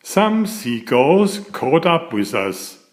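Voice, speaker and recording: A man narrating a children's picture book aloud in a deliberate, expressive storytelling manner. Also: on the high side. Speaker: author reading aloud.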